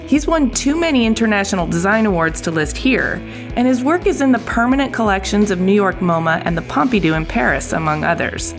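A woman's voice: medium pitch, expressive, a little fast. A woman hosting an interview podcast, who reads a scripted introduction and then asks questions in an upbeat manner. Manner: upbeat, with easy laughter. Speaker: podcast host. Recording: music bed behind voice.

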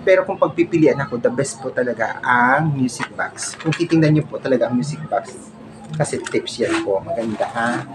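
A middle-aged Filipino man giving an informal show-and-tell to camera, speaking politely and haltingly in a small home room. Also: mid-range voice, animated. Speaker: vlogger showing a personal collection. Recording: very noisy home phone recording.

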